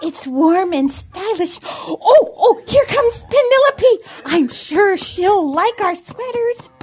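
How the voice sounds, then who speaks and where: A very high, swooping voice for a vain female hen in a comic audio drama. She is theatrical and chatty, stretching her words at an unhurried pace. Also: animated, a little mellow, full character voice. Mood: pleased, chatty. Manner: theatrical, exclamatory.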